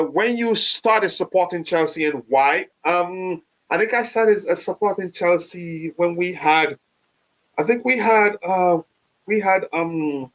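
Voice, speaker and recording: A man, hosting a live fan stream and reading viewer comments, halting with many fillers, his voice still touched by a cold. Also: on the high side, poor audio. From Nigeria. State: recovering from a cold. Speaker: fan channel host.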